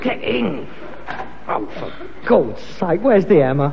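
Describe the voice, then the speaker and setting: A man's voice: high-pitched, sing-song, slow pace, vocal fry, over a noisy crowd, exaggerated voice. An actor with a London accent playing a frustrated, pretentious grown-up son of a rag-and-bone man in a British sitcom, grand one moment and exasperated the next. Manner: affected grandness slipping into working-class exasperation. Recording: muffled, hissy, reverberant archive comedy recording.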